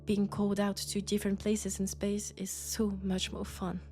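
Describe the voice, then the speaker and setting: A woman with a French accent, sick with a cold, playing a gentle, sociable traveling doctor from Mars in an intimate sci-fi audio roleplay, chatty and soft. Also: mid-range voice, monotone, moderate pace, close to an everyday voice. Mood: warm, reflective. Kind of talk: intimate sci-fi roleplay acting, one-sided conversation.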